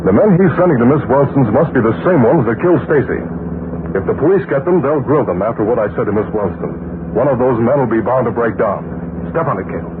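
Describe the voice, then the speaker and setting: A man's voice: mid-range voice, animated, fast, lightly acted. A man playing a resolute masked crime-fighter in a 1940s radio drama, decisively laying out his plan. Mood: determined, scheming. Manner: decisive, explanatory. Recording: noisy narrow-band 1940s radio broadcast.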